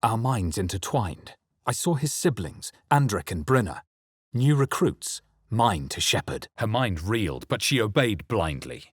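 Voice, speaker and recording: A male actor playing a brooding fantasy adventurer in a role-playing game, with a husky voice and a measured, dramatic delivery. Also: on the low side, very animated, no echo, clean studio sound, full character voice. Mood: haunted, solemn. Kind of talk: scripted game voice lines and first-person narration.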